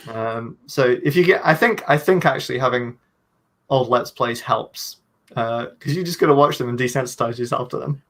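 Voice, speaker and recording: A man's voice: mid-range voice, moderate pace, long pauses, fairly bright tone. A man video creator chatting casually on a panel, with a slightly nasal voice, stumbling over restarts and joking at his own expense. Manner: rambling, stumbling restarts, self-deprecating. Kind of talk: casual online panel chat.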